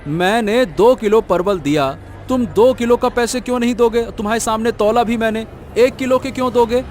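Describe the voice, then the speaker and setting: A man's voice: very high-pitched, moderate pace, soft background music. A man playing a naive, honest village vegetable seller in a cartoon, earnest and plaintive, often repeating himself. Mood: indignant. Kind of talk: Hindi cartoon moral story acting.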